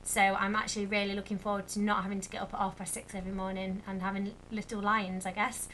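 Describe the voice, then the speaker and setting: A young woman, a little croaky, chatting casually to camera in a rambling vlog update. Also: medium pitch, flat delivery, quick pace, fairly bright tone, slight background noise, low-quality recording. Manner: chatty, rambling with many fillers. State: slightly croaky. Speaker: vlogger.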